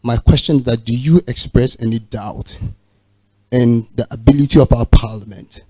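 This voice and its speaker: A man's voice: medium pitch, fairly even intonation, moderate pace, mellow, husky, no echo. A man who is a lawyer asking a panelist a pointed, formal question. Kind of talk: question from the floor.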